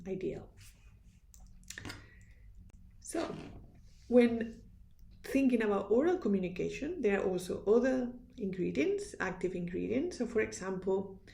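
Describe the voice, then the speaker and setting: A woman's voice: medium pitch, gravelly, darkish tone, reverberant, slight background noise. A woman with a strong Spanish accent, a native Spanish speaker, giving an earnest, instructive university lecture with small restarts and fillers. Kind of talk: recorded lecture.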